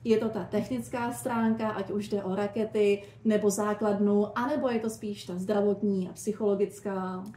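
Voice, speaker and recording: A woman's voice: medium pitch, fairly even intonation, few pauses, warm tone. A woman hosting a podcast and putting questions to a guest in a conversational interview. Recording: echoey room with faint noise.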